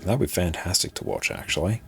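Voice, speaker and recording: A young Australian man with a soft, slightly British-sounding accent, chatting casually and reading chat aloud during a live game stream. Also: deep voice, fairly quick, a little hiss or hum. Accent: Australian, described as a softer, British-sounding one. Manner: relaxed, friendly, chatty banter. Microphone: desk streaming microphone.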